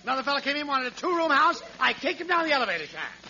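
An actor playing a brash, blustery, wisecracking crooked building contractor in a 1940s radio comedy. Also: male voice, very high voice, big pitch swings, very fast, bright, forceful tone, heavily acted. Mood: blustery, irritated. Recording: muffled vintage 1940s radio with hiss.

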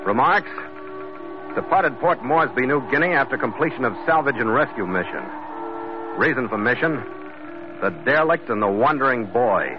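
An actor playing a steady, seasoned ship's captain, narrating his log in clipped 1940s radio drama style. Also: mid-range voice, moderate pace, over music, natural voice. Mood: terse, official. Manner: terse, clipped log-entry phrasing. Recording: noisy, muffled old radio recording.

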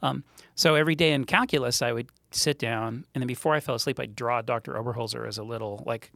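Gravelly-voiced man telling stories. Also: medium pitch, steady tone, fast, fairly bright tone, dry recording, studio quality. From Montreal, Canada. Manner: joking, rambling storyteller. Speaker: startup co-founder, guest. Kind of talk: casual interview chat.

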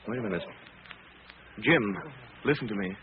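An actor plays a grave gentleman sleuth with a low voice and polished, composed speech. It is a tinny vintage radio drama with clear background noise. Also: normal speed, natural voice. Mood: urgent, concerned. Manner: calm, polished, solemn. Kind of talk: 1940s radio drama acting.

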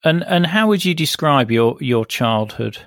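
A man's voice: a little high, animated, measured pace, dry recording, studio quality. A man hosting a podcast, reading scripted introductions and putting questions to a guest as interviewer.

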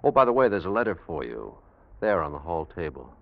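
A cool, mock-polite housemate needling his rival in a vintage radio play. His fairly low voice has dry, expressive swings in intonation. The recording sounds dull and aged. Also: fairly quick. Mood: smug, needling. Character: coolly smug housemate baiting his rival. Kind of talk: old-time radio drama acting.

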